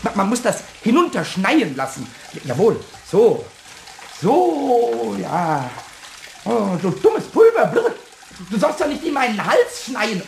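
A man playing a childish, mischievous little kobold in a children's radio play, boastful and whiny, stammering and repeating words excitedly. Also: high voice, takes it slowly, gravelly, slight background noise, heavily acted. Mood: indignant.

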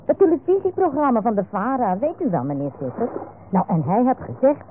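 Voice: medium pitch, sing-song, brisk, natural voice. A performer playing a motherly, nosy female housekeeper with a Dutch accent in a radio serial. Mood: concerned, chatty. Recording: tinny band-limited old radio recording with noise.

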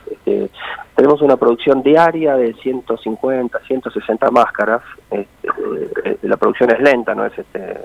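A man's voice: medium pitch, creaky. A man with a Buenos Aires Argentine accent explaining things informally and reflectively in a radio phone interview, often seeking agreement. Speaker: interviewee, training centre director. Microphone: phone call. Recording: thin, narrow phone-line sound.

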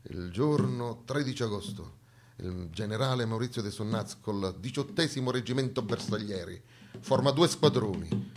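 A man performing a dramatic theatrical monologue, narrating historical events in a deliberate, declamatory way. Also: mid-range voice, slight background noise. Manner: declamatory, deliberate, storytelling delivery. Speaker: stage actor and narrator.

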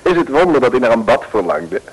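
A man's voice: mid-range voice, very animated, quick pace, slightly rough, poor audio. A man narrating an old radio play in a wry, chatty way.

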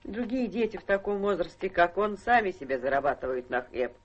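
A woman's voice: medium pitch, very animated, fairly quick, lo-fi sound. Weary, reproachful elderly mother. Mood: bitter reproach. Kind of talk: Russian radio drama stage acting.

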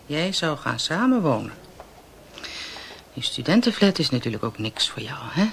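A woman's voice: deep voice, very animated, normal speed, vocal fry, exaggerated voice. Wry lady cop in a radio play, sardonic and probing, voice swings up and down, bit of hiss. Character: wry, teasing female police inspector. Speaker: police inspector. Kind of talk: naturalistic Dutch radio drama acting.

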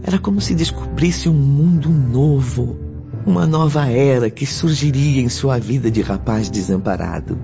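A solemn storyteller narrates a radio serial with lively, dramatic intonation in a medium-pitched voice. Music plays clearly behind him on a dull recording. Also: male voice, normal speed. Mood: solemn, lyrical. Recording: muffled lo-fi recording with loud music.

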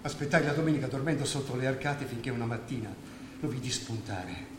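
A man's voice: medium pitch, fairly quick, lightly acted. Echoey, reflective male stage storyteller acted. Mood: reflective, wistful. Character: reflective, educated male fugitive recalling an old comrade. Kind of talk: stage drama acting, monologue. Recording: echoey theatre stage, faint noise.

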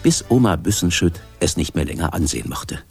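A man's voice: deep voice, sing-song, brisk, vocal fry, darkish tone. An older man narrating a children's radio play in a wry storytelling manner. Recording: accordion music under narration.

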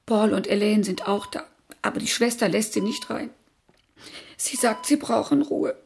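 A woman's voice: mid-range voice, moderate pace, creaky, darkish tone, slight background noise, natural voice. An actress playing an anxious, tender housekeeper in a radio drama, motherly and full of emotion. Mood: anxious, relieved. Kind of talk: radio crime drama acting.

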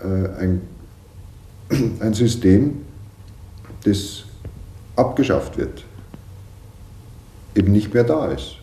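A man making spontaneous, reflective remarks with halting pauses. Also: lowish voice, expressive, mellow. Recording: echoey room, faint noise.

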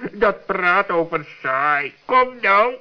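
A man's voice: high voice, full character voice. A man voicing a wry, sensible talking animal in a children's radio play. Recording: dull old radio recording.

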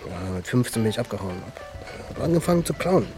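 A man's voice: medium pitch, sing-song, fairly quick, over music, lightly acted. An actor playing a jittery, boastful young junkie thief in a crime radio drama, stammering and compulsively repeating his phrases. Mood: subdued, restless.

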